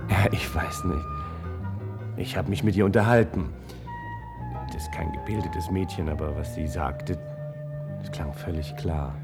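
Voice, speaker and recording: An actor playing an earnest, thoughtful researcher in a German science-fiction radio play, speaking hesitantly and searching for words. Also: male voice, low-pitched, unhurried, gravelly, long pauses, over loud music. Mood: reflective. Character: earnest, thoughtful male researcher Neil, quietly drawn to outsiders.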